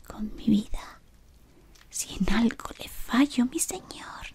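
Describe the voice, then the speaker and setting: A devoted, fawning servant of a dark lord, whispered by a young woman right up against the mic. The slow, hushed delivery still rises and dips in a lively way, deferential and eager to please. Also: on the high side, breathy edge, heavily acted. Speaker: ASMR roleplay creator. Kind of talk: whispered ASMR roleplay. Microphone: close sensitive mic at face level.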